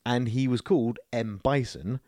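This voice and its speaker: A man chatting casually as a podcast co-host, animated and jokey, often acting out imagined quotes. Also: medium pitch, moderate pace, no echo, studio quality. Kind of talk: casual chat, film and games banter.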